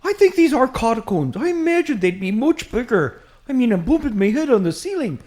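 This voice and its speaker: A woman voicing a chatty, excitable Irish priest, a short man who rambles eagerly in an Irish accent in a comic audio drama. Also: fairly high pitch, fairly quick. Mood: rambling, curious. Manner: rambling, eager, easily distracted.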